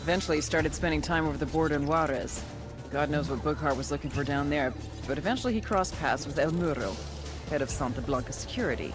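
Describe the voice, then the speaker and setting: Intel briefer, male actor: lighter-pitched, dry and shrewd dossier narration, music bed underneath. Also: fast talker, close to an everyday voice. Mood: knowing, brisk. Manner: dry, knowing, colloquial. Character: shrewd intelligence briefer profiling cartel targets. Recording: music behind the voice.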